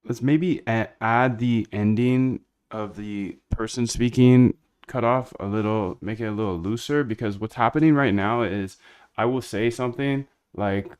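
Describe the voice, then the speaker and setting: A man's voice: fairly low pitch, darkish tone, clear, resonant tone. A man talking casually and informally, thinking aloud as a developer while he works. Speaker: developer hosting a coding video. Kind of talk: casual talk while working and testing.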